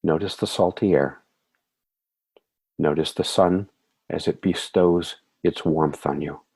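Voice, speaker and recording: A man leading a guided meditation in a soothing, measured way, with calm phrasing and gentle affirmations. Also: low-pitched, gravelly, slightly rough.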